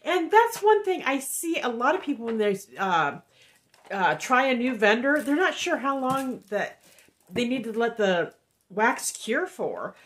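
A woman talks into a desk camera at home. Her slightly high voice comes out nasal from a lingering head cold. She rambles casually, filling gaps with ums and reading little notes aloud. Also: sing-song, unhurried. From Indiana, USA. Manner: chatty and rambling, many ums, reads cards aloud. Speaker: wax melt reviewer. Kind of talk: casual haul vlog chat. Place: home room, indoors.

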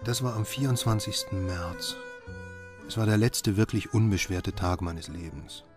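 A man acting a brooding husband and father who tells his story in a radio drama, grave and measured. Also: lowish voice, music in the background, natural voice. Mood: grave, foreboding. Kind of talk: radio drama narration and dialogue.

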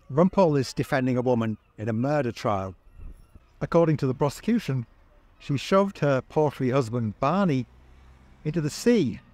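A man's voice: medium pitch, a little mellow. Wry middle-aged man presenting. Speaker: video presenter. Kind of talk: scripted narration to camera, wry. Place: outdoors on seaside town streets.

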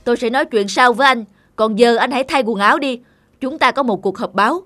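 A woman voicing a bossy, stout middle-aged male manager who gives orders and scolds briskly. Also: fairly high pitch, fairly bright tone, soft background music, lightly acted. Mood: scolding.

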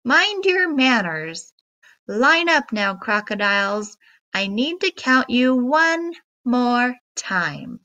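A woman's voice: on the high side, big pitch swings, slow pace, bright, forceful tone, muffled recording, full character voice. A woman voicing a clever little female monkey from a picture book, sly and scolding.

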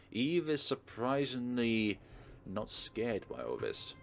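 A man's voice: mid-range voice, huge pitch swings, takes it slowly, gravelly. A man hosting a let's play, reading a visual novel aloud dramatically with casual commentary. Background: game music.

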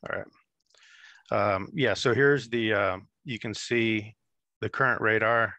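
A man in his thirties walks through a software demo over a video call, easygoing and full of fillers. His voice sits low but sounds bright. The headset sound is dry and close. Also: unhurried, dry recording, muffled recording. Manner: relaxed, explanatory, many fillers. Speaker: app demonstrator. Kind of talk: screen-share software demo.

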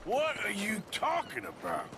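Tired blunt outlaw, slow drawl, voice swings up and down a lot. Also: male voice, high-pitched, wildly swooping pitch, background noise, exaggerated voice. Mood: worried. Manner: gruff, blunt, slow-spoken. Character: weary gruff outlaw enforcer, middle-aged. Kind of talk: video game cutscene acting.

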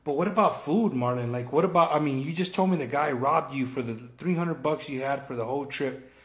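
A man's voice: mid-range voice, brisk, fairly bright tone. A man hosting a podcast interview, asking probing questions and often restarting his sentences. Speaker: host. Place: studio desk at home. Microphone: studio mic with headphones. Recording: roomy echo.

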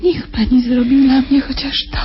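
An actress playing a desperate captive wife in a radio drama, imploring and halting as she pleads to be believed. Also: sits high, flat delivery, close to an everyday voice. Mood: desperate pleading. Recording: dull, noisy old radio drama recording.

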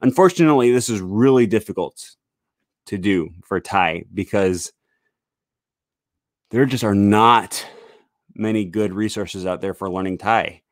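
A man's voice: lowish voice, measured pace, pauses often, no echo, studio quality. Rambling young man streaming from home. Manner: loose and rambling, with frequent "um" and "uh". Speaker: language-learning streamer. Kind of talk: casual live-stream talk with screen sharing. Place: small home room with bookshelves. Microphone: large studio microphone on a desk stand.

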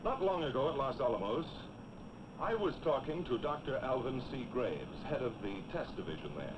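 A man's voice: medium pitch, big pitch swings, moderate pace. A man in his forties with an American accent, hosting and narrating a scripted 1950s documentary. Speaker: on-camera host and narrator. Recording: muffled, noisy 1950s film soundtrack.

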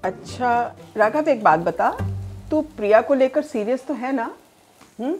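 A woman's voice: on the high side, animated, unhurried, darkish tone, faint music behind. An actress playing a teasing, motherly middle-aged mother who mixes in English phrases, in a home living room. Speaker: groom's mother. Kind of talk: scripted short-film acting.

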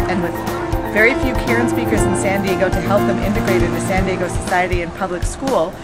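A woman reads a prepared narration at a middle pitch in an earnest, even way. Music plays loudly underneath her voice the whole time. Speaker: volunteer documentary narrator.

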